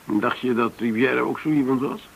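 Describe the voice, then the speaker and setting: A man's voice: medium pitch, normal speed, vocal fry, breathy edge, rough recording. A man acting in a radio play as a guarded, polite businessman who hesitates and asks probing questions.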